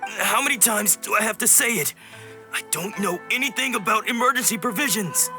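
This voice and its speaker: An actor playing an exasperated, defensive samurai in a video game, speaking emphatically. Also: male voice, high voice, normal speed, close to an everyday voice. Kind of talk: video game cutscene acting. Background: game music.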